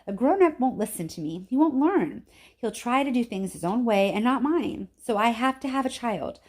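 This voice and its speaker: A woman's voice: a little high, lively intonation, normal speed, a little mellow, pitched above the performer's own voice. A woman voicing an eccentric, eager old male factory owner with an emphatic, cheerful, insistent way of talking in a storybook reading. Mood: earnest, confiding. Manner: emphatic, exclamatory.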